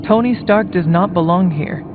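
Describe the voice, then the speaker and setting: Man as terse high-voiced hunter hero. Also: noisy background, lightly acted. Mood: firm, dry. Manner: brief, plain, measured. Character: calm, terse centuries-old male demon hunter leader. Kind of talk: video game dialogue acting. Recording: tinny band-limited game audio, music behind.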